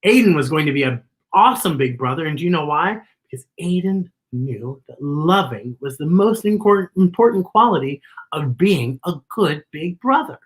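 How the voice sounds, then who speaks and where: Children's storyteller, lively swooping intonation, slightly raspy, expressive, chatty asides. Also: medium pitch. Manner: expressive, exaggerated storytelling, pausing to point out pictures. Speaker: children's story-time host reading a picture book aloud. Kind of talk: animated read-aloud for children with asides to the listeners.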